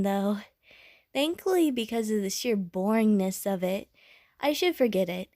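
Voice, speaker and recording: Woman as female water slime, friendly, naive, chatty, slow-paced, very dry close sound, roleplay audio. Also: fairly high pitch, dark tone, no room sound. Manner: naive, chatty, rambling, with bubbly verbal bloops. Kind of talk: audio roleplay addressed to the listener.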